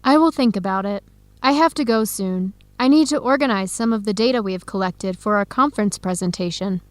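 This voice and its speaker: A woman playing an earnest, heartbroken young American researcher in a radio drama, speaking clearly and conversationally. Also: mid-range voice, fairly quick, dry recording, natural voice. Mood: pensive. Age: young adult. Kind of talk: scripted radio drama dialogue.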